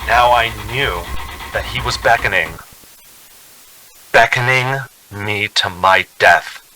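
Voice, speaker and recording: A man's voice: lowish voice, slow pace, pushed and bright, slight background noise, low-quality recording. An actor playing a haunted, anxious young man driving cross-country, speaking in a tense, hesitant radio drama style. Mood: dread. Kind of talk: old-time radio drama acting with narration.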